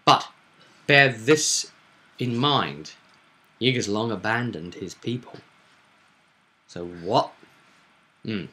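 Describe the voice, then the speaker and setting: A man hosting a casual recap of a tabletop roleplaying session as game master, telling it with dramatic emphasis and pauses. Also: on the low side, lively intonation, bright, forceful tone.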